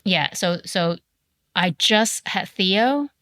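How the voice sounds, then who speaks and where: A woman hosts a casual podcast in a bright, sharp voice, blunt and teasing with her guest and quick to swear. She is recorded close on a dry mic with almost no room sound. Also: mid-range voice, expressive, no echo. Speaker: podcast host. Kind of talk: casual chat.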